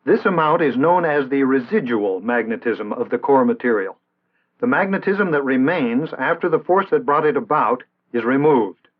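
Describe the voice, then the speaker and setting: An American man reading scripted instructional narration in a measured, formal, didactic way, in the style of an early 1960s training film. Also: mid-range voice, lively intonation. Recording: tinny band-limited vintage 1960s film soundtrack.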